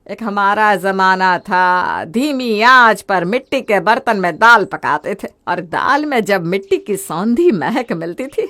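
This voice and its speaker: A woman's voice: medium pitch, bright, forceful tone. A woman voicing a nagging, old-fashioned older mother-in-law who grumbles and scolds in a family moral story. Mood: grumbling.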